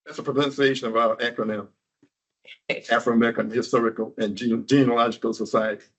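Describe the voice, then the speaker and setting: A man's voice: mid-range voice, little pitch movement, fairly quick. A man from the hosting society chimes in with matter-of-fact pointers, using uh fillers as he goes. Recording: dull, muffled lo-fi sound.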